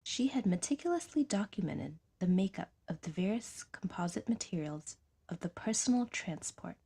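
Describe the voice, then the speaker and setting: A woman's voice: medium pitch, mellow, husky. A woman reading a science fiction story as a scripted audiobook narration.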